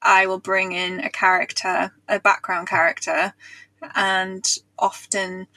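A woman's voice: medium pitch, level tone, slow, pushed and bright, rough recording. A woman guest author explaining her writing habits in a friendly, casual way, laughing mid-sentence. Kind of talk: casual interview chat.

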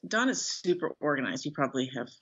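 A woman's voice: deep voice, animated, fast, fairly bright tone, husky. A middle-aged woman speaking conversationally as an author being interviewed, on a slightly dull online-call recording. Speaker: guest author.

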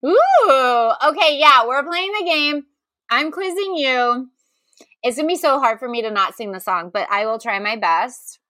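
Bright, excitable woman with lively pitch. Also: measured pace, dry recording, studio quality. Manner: excitable, giggly. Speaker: podcast host. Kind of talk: casual comedic chat.

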